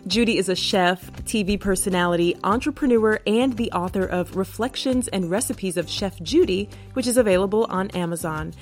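A woman hosting a podcast, reading a scripted introduction in a measured way. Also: medium pitch. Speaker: podcast host. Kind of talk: scripted narration. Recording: faint music underneath.

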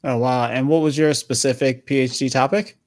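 A man's voice: mid-range voice, fairly even intonation, moderate pace, dry recording. A man hosting an interview podcast, asking questions in a conversational way. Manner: conversational, hesitant questions with restarts. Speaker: podcast host.

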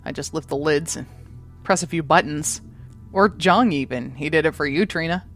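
An actress in an audio drama playing a weary, modest moon traveller, hesitant and understated, who is loyal to family. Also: female voice, deep voice, moderate pace, faint music behind, close to an everyday voice. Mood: tired, wry.

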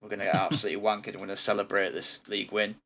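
Relaxed low-voiced man. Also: very fast. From England. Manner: relaxed and rambling, with frequent ums. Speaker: podcast host. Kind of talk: casual podcast chat.

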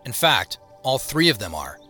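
Earnest guy investigator telling his mystery story, audio drama, expressive, music under it. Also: medium pitch, normal speed. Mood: hushed wonder. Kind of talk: first-person scripted audio drama narration.

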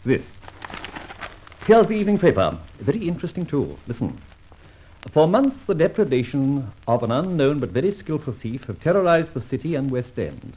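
A man playing a suave, mocking gentleman thief in an old radio drama, ironic and amused. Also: fairly high pitch, very animated, moderate pace, slightly rough. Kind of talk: 1940s radio acting. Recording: dull lo-fi old radio recording.

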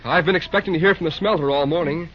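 A man performs an earnest, businesslike railroad boss in a vintage radio serial. His voice sits a little high for a man. The recording is dull and aged. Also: rapid-fire, slight background noise, natural voice. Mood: confident, businesslike. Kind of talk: old-time radio drama acting.

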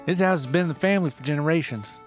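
A man voicing an aggrieved, sentimental rustic ginseng forager with an Appalachian accent and halting, folksy speech, in a narrated story. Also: a little high, soft background music, pitched above the performer's own voice, close to an everyday voice. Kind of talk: mock reality-show character in a narrated story.

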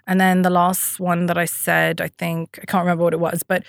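A woman with a lowish voice, recorded close and dry, who thinks aloud as she speaks. She is a young adult from the Emirates and often pauses, restarts and fills gaps with "um" while building her points. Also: level tone, vocal fry, no echo. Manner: thoughtful, hesitant, many fillers and restarts. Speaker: guest. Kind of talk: reflective interview conversation.

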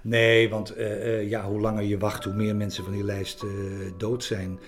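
A man speaking haltingly and hesitantly as a documentary interviewee. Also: fairly low pitch, monotone. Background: faint music.

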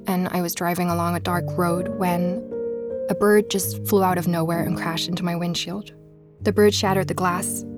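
A woman's voice: on the low side, natural voice. Troubled woman podcaster confessing over music, played by an actress. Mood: somber recollection. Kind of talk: confessional podcast narration in an audio drama.

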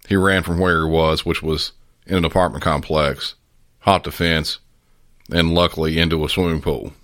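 A man with a very deep voice narrates a true-crime podcast. His delivery is flat, measured and matter-of-fact, recorded dry and close. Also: dry recording. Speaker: podcast host.

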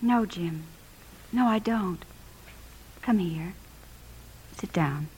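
A woman's voice: on the low side, drawn-out words, a little mellow, poor audio. An actress playing a loving, worried young wife in a 1950s radio drama, earnest and probing with her husband. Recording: 1950s radio broadcast, faint noise.

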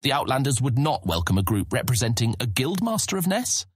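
A man playing an earnest young guild ranger in a fantasy audiobook, husky, polite and persuasive as he pleads his case. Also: mid-range voice, very animated, a little fast, no echo, studio quality. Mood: earnest, insistent. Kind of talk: full-cast fantasy audiobook acting.

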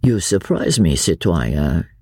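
A narrator voicing a shrewd, courtly French gentleman who speaks quietly with sly gallantry and dry irony in an audiobook. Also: low voice, very animated, takes it slowly, no echo, pitched below the performer's own voice, heavily acted. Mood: mock surprise. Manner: quiet, smoothly gallant, dryly ironic.

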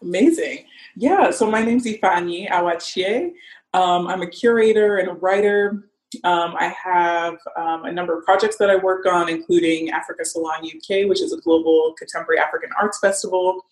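A woman's voice: on the low side, normal speed, roomy sound, low-quality recording. A woman from Nigeria, a curator and writer, chatting as a podcast guest in a friendly way. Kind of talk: casual podcast chat.

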